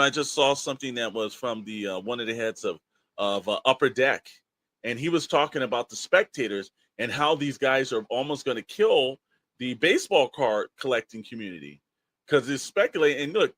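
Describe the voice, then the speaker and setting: A man with a medium-pitched voice talks as a podcast host in a very dry recording. His casual chat is opinionated and rambling, slipping into quoted asides with exasperated emphasis. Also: lively intonation, fairly quick, fairly bright tone, dry recording.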